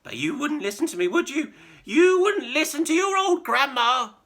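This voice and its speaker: Screechy ancient grandma, wild and boastful, shrill and lively in pitch. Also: female voice, normal speed. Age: older. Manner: shouting, cackling, bossy.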